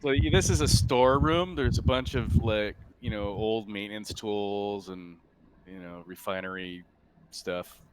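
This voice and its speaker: A man acting as game master, narrating scenes in a casual, halting, conversational way. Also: on the low side, very noisy.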